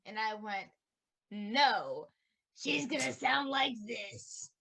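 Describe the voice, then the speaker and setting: A woman's voice: on the high side, lively intonation, slow pace, creaky, sharp tone, breathy edge. A woman voice actor chatting casually on a podcast commentary. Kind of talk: casual chat.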